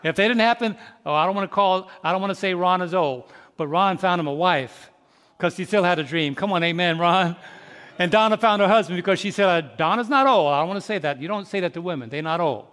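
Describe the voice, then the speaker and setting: A man in his fifties with a high voice preaches to his congregation, tossing questions out for them to answer. He drops to a slow, quiet hush and then climbs into loud, ringing exhortation. His words hang in the long reverb of a large hall. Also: clear, resonant tone. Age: 50s. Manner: swings from soft, slow reflection to loud, rising exhortation. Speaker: pastor. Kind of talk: sermon.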